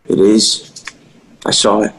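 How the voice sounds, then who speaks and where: A man's voice: takes it slowly, lightly acted, poor audio. A man acting a determined, protective teenage boy at a script table read, in an earnest, understated way. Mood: grave, resigned.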